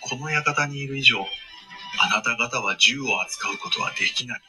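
Voice drama: actor as formal mansion gatekeeper, forceful, raspy, stern, music underneath. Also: male voice, medium pitch, moderate pace. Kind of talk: Japanese voice drama acting.

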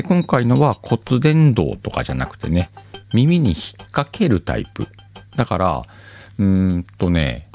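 A very low-voiced man with a Kansai accent, casually chatting alone as a podcast host. His pitch rises and falls in a lively way, and he keeps talking to his listeners in a relaxed, self-deprecating tone. Soft music plays faintly in the background. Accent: Kansai Japanese. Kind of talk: casual solo chat.

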